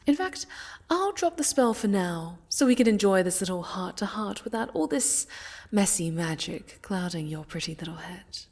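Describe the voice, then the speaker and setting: A woman playing a haughty, sinister necromancer villainess in a soft-spoken ASMR roleplay, silky, condescending and mockingly sweet, often sinking to a whisper. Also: medium pitch, breathy edge, exaggerated voice. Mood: threatening, condescending. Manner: condescending, silky, menacing, drawn-out.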